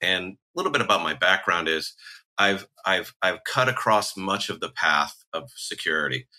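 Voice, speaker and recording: A man with a very deep voice and an extremely bright, sharp edge. He talks haltingly on a podcast, repeating words and slipping in dry asides. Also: expressive. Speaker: guest, chief information security officer. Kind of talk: casual podcast conversation.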